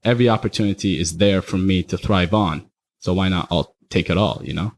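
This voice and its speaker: A young man from Iraq talks fairly low, candid and loose, full of slang and filler. His delivery rambles easily from one point to the next. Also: brisk. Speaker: guest, party promoter. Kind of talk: casual podcast chat.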